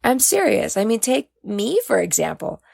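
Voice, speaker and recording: A woman's voice: medium pitch, big pitch swings, normal speed, creaky, a little mellow, breathy edge, no echo, studio quality, voice pushed up, full character voice. A woman voicing an exuberant, teasing young man with a flamboyant, playfully dramatic way of talking in an audiobook. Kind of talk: audiobook character dialogue.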